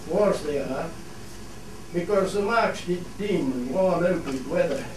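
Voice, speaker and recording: Radio play part: male actor as Cumbrian fell shepherd, plain and unhurried, very echoey, noisy recording. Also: sits high. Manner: plain, explanatory, measured. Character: plain-spoken Cumbrian fell shepherd explaining local customs. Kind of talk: scripted radio play monologue in dialect. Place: theatre studio. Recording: echoey hall, distant mic, clear noise.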